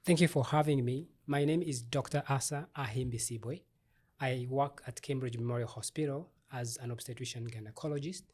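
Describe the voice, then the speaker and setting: A middle-aged man from Uganda, an obstetrician-gynecologist, telling his life story in an interview in a thoughtful, measured way with small restarts. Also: medium pitch, darkish tone.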